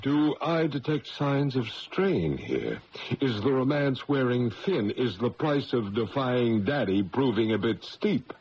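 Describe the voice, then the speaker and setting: An actor playing a shrewd, dryly sardonic judge in a radio drama, probing in a measured way. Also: male voice, medium pitch, sing-song, darkish tone, slight background noise. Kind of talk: old-time radio drama acting. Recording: dull radio broadcast with music behind.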